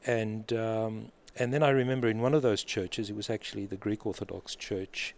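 A middle-aged man with an Australian accent, an abbot, speaking thoughtfully in an interview with many pauses and fillers. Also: on the low side, steady tone, vocal fry. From born in Egypt, raised in Australia. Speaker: abbot of a monastery. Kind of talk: conversational interview. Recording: faint background noise.

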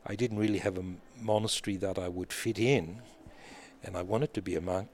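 A middle-aged man with an Australian accent, an abbot, speaking thoughtfully in an interview with many pauses and fillers. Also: sits low, fairly even intonation, a little mellow. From born in Egypt, raised in Australia. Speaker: abbot of a monastery. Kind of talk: conversational interview. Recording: faint background noise.

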